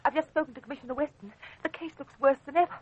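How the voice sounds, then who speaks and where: Actress as devoted worried sweetheart, Australian, very high voice, urgent melodrama, muffled old radio. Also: female voice, racing, natural voice. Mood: anxious. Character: anxious devoted girlfriend of the hero. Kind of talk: old radio drama acting. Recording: muffled lo-fi vintage radio recording.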